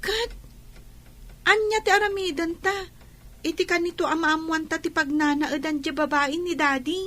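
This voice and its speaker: Woman acting an eager, high-pitched young girl. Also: fairly even intonation, normal speed, bright, forceful tone. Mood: scheming. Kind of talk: Ilocano radio drama acting.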